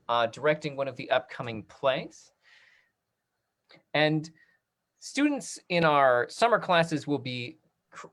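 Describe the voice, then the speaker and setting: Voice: mid-range voice, fairly bright tone. An upbeat, encouraging host casually running a theater talkback over a video call.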